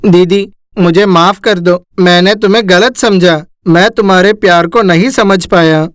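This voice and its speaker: A woman's voice: on the low side, normal speed, dry recording, studio quality, lightly acted. Woman playing an emotional young schoolboy. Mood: apologetic. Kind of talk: animated moral story dialogue.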